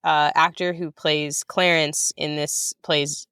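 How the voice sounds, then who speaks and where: A woman's voice: low-pitched, level tone, slow pace, a bit bright, clean tone, no room sound, studio quality. An American woman chatting casually as an interview guest, enthusiastic and informal, talking in tumbling asides. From United States. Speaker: writer-director guest.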